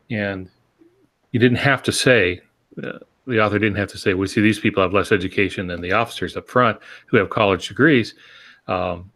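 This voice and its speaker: A man co-hosting a podcast, explaining by example in a casual, halting, measured way. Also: lowish voice, fairly bright tone. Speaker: podcast co-host.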